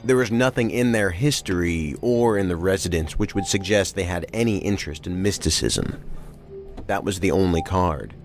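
An actor playing a methodical, matter-of-fact federal agent in an audio drama. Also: male voice, low voice, lively intonation, moderate pace, soft background music.

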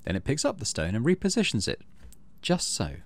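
A man hosting a gaming channel, low-key, casually commenting on play and reading story text aloud. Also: wildly swooping pitch, brisk.

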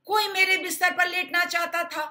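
A woman voicing an indignant storybook papa bear, exclaiming loudly. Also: extremely high pitch, little pitch movement, normal speed, voice pushed up, exaggerated voice. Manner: loud, exclaiming.

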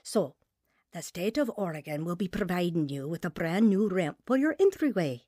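An actress playing a gentle, devout care worker in a radio drama, speaking in a patient, earnest manner. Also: female voice, on the low side, lively intonation, moderate pace, dry recording, studio quality. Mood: gentle, reassuring.

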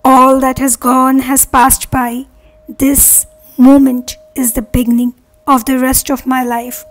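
A woman leading a guided meditation with affirmations, speaking earnestly in measured, separate phrases. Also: sits high, flat delivery, poor audio. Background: meditation music.

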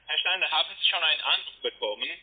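A man's voice: fairly high pitch, lively intonation, fast. Foreign-accented man on a tinny phone. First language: not German. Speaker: call center sales agent. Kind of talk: sales phone call. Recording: tinny telephone line.

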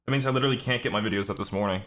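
A man's voice: on the low side, rapid-fire, background noise. A man giving casual gameplay commentary as a streamer, griping with exclamations and swearing. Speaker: video game streamer.

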